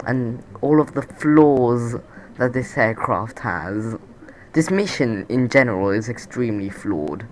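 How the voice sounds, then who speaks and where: A man's voice: mid-range voice, slight background noise, rough recording. A man with a sore throat and a nasal voice, rambling through casual game commentary with many fillers and restarts. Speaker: gameplay commentator.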